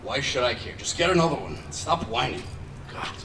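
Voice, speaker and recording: A man playing a gruff, impatient superhero mutant in a live stage show, curt and growling. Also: mid-range voice, moderate pace, a little hiss or hum, close to an everyday voice. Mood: irritated. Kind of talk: live theme park stage show acting. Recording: echoey outdoor show, distant mic, loud crowd.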